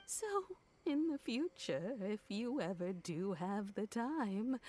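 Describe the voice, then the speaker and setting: An actress playing a kindly old female servant in a video game, polite, affectionate and gently effusive. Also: fairly high pitch, heavily acted. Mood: tender, nostalgic.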